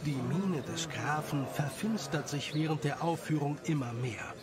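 A man's voice: fairly high pitch, a little slow, a crowd in the background. A man reading scripted story narration as the narrator.

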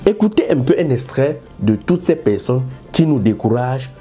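Man, host, dark mellow tone, emphatic and repetitive, lapel mic, faint music underneath. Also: mid-range voice, big pitch swings, normal speed. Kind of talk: commentary monologue.

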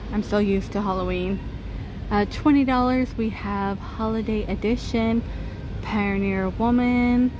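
Slow-talking woman filming a store walkthrough. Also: mid-range voice, background noise. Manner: chatty, reads out prices, laughs softly. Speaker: vlogger. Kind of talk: casual shop-with-me walkthrough. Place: large retail store, indoors. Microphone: handheld phone. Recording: store music over the voice. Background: holiday music playing in the store.

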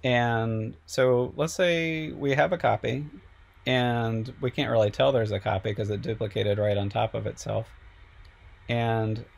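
Male instructor, a little sick and hoarse, gravelly low voice, relaxed step-by-step tutorial voice-over. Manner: relaxed, step-by-step, frequent ums. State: a little sick, losing his voice. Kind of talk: screen-recorded software tutorial.

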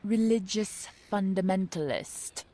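An actress playing a feisty, skeptical, indignant woman in an audio drama, sharp-tongued and sarcastic. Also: medium pitch, measured pace, lightly acted. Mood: defiant.

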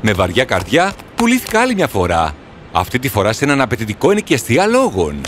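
A man's voice: medium pitch, huge pitch swings, a bit bright, a little hiss or hum. Earnest, sorrowful horse storyteller played by a man. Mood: sorrowful, reflective. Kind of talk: storybook cartoon narration. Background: music.

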